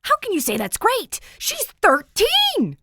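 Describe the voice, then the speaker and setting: A woman's voice: extremely high pitch, wildly swooping pitch, slow pace, a little hiss or hum, pitched above the performer's own voice, exaggerated voice. A woman voicing an outraged teenage boy. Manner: outraged, shouty. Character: teenage boy, protective big brother, excitable.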